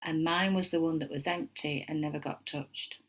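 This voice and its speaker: A woman's voice: low voice, fairly even intonation, moderate pace. A middle-aged woman with a Yorkshire accent, giving a casual tarot reading at home and wandering into chatty asides. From England. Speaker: tarot reader.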